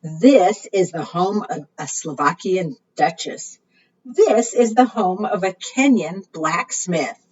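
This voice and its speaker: Animated woman leading preschool storytime, slightly husky. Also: fairly low pitch, takes it slowly. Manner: sing-song, asks the listeners questions. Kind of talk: children's storytime read-aloud with questions to young children. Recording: muffled, distant microphone.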